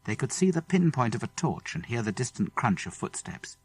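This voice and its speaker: A man's voice: medium pitch, very animated, a little fast, darkish tone, breathy edge. A man with a British English accent narrating a children's adventure story dramatically. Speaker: audiobook narrator. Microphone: close studio microphone.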